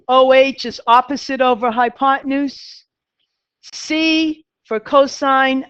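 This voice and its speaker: A middle-aged math teacher with a Boston accent talks her class through a lesson, chatty and quick to poke fun at herself. Her voice is smooth and clear, and the sound is dry but a little dull and lo-fi. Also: fairly high pitch, a bit bright, dry recording. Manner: chatty, self-deprecating, talks to students. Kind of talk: classroom lecture.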